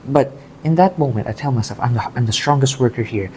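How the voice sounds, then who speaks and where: Mellow, earnest young-sounding guy from Southeast Asia. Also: mid-range voice, a little hiss or hum. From Southeast Asia, grew up in Thailand and the Philippines. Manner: earnest, reflective, halting with repeated phrases. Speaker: amateur bodybuilder vlogger. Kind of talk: casual confessional vlog talk.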